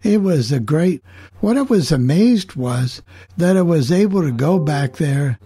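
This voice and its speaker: A man's voice: on the high side, lively intonation, unhurried, warm tone, husky. An older man hosting a casual group chat and moving the conversation along among friends. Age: 60s or older. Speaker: host of a club chat.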